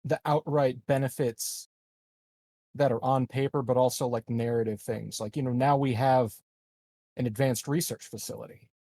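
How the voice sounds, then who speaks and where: Gravelly man chatting as a game player. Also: medium pitch, fairly even intonation, normal speed, dark tone, no room sound, studio quality. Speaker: player in a tabletop roleplaying game. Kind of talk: casual chat.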